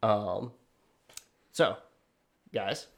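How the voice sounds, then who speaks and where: Male podcast host, slightly low voice, loose and self-deprecating. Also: very slow, vocal fry. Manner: rambling, filler-heavy, self-deprecating. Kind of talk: casual podcast chat.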